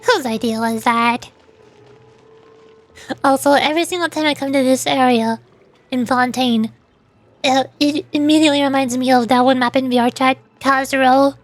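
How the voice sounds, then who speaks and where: A woman's voice: high-pitched, steady tone, normal speed, pauses often. A woman streamer giving casual, chatty live commentary on a game in a playful way. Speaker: VTuber streamer. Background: faint music.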